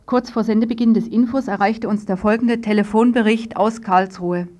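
A woman's voice: mid-range voice, fairly even intonation, moderate pace, warm tone, slight background noise. A woman presenting a live radio news magazine, handling interviews and introducing reports. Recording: dull, lo-fi radio recording.

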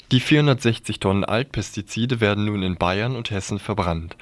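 A man's voice: lowish voice, dry recording. A man reading scripted radio news as a narrator of reports.

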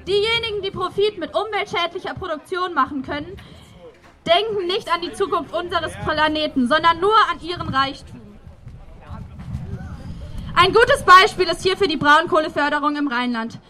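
A woman's voice: very high-pitched, bright, forceful tone. A young woman delivering a prepared political speech as a protest speaker.

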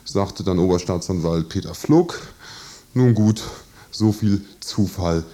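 A man giving an explanatory report as a radio interview guest, careful and wordy, with pauses as he formulates. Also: deep voice, dark tone. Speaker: trial reporter, studio guest.

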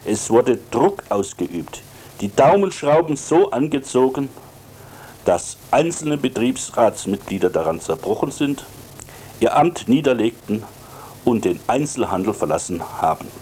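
Slow, animated man with a mellow voice. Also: mid-range voice, a little hiss or hum. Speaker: works council member and radio contributor. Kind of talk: prepared radio commentary.

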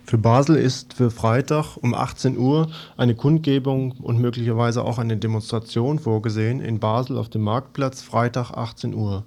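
A man's voice: medium pitch, fairly even intonation, normal speed, hardly any pauses, darkish tone. A man hosting a radio programme, interviewing and reading out announcements. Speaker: radio host.

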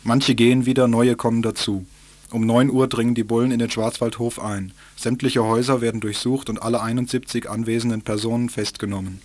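A man narrating a radio documentary on past events in a steady, even reading. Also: medium pitch, a little fast, dry recording.

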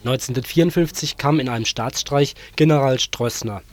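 A man reading a scripted background report on the radio in an even, matter-of-fact way. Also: medium pitch, fairly quick, slight background noise. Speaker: radio contributor.

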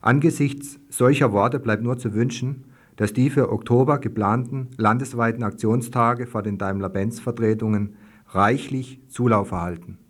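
A man's voice: mid-range voice, fairly even intonation, moderate pace. A man reading a scripted news commentary as a radio reporter.

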